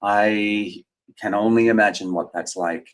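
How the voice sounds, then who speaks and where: A man's voice: fairly low pitch, fairly even intonation, a little slow. Rambling man hosting a livestream. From Australia. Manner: rambling, hesitant, many fillers and restarts. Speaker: host. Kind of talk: casual livestream chat, reading viewer comments.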